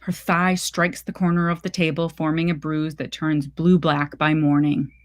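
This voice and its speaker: Female story reader, lower-pitched voice, measured and deliberate, literary narration. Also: level tone.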